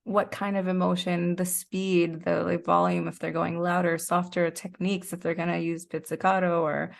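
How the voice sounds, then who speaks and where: A woman's voice: fairly low pitch, little pitch movement, moderate pace, creaky. A woman being interviewed as a guest, explaining things in a casual, reflective way. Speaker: interview guest, performing artist.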